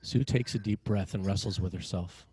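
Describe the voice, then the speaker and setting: A man narrating screenplay action and scene headings in a measured, dramatic way, in short phrases. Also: low-pitched, warm tone, husky, no echo.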